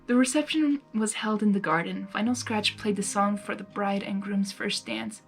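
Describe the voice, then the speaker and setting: A woman reading fan fiction aloud, narrating and breaking into animated, giggly asides. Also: medium pitch, moderate pace. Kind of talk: story narration with excited casual asides. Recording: music behind the voice. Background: music.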